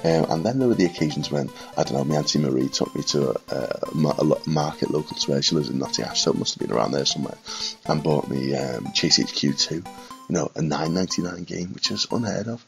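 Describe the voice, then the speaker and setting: Very deep, gravelly northwest English man. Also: fast, faint music behind, poor audio. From Liverpool and Widnes, England. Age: 30s. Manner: quiet, subdued late-night talking. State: congested with a cold. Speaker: retro gaming video maker. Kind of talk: rambling casual reminiscence to camera. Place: small furnished room at home, indoors.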